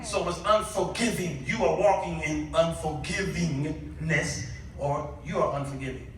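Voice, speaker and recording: A man preaching an impassioned sermon, exhorting his listeners with pauses and rhetorical questions. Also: on the high side, slight background noise. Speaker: guest preacher. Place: large echoey indoor church with congregation. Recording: echoey church, distant mic, congregation responding.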